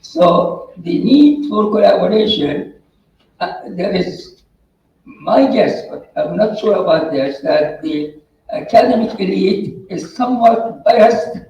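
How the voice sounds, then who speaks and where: A man's voice: sits high, slow, vocal fry, warm tone, lo-fi sound, slight background noise. A man from the audience giving an earnest comment at a conference, his phrasing non-native, recorded from far off in a hall. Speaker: audience member. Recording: roomy hall, far from the mic.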